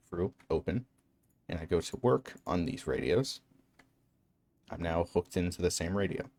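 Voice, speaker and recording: A man's voice: sits low, darkish tone, a little hiss or hum. A man narrating an instructional game walkthrough in a matter-of-fact, explanatory way. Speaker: tutorial narrator.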